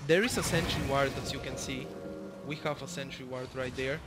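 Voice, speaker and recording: A man's voice: medium pitch, brisk, loud music behind the voice. A young man from Bulgaria with a Bulgarian accent, casually commentating his own match, rambling with lots of fillers and the odd swear.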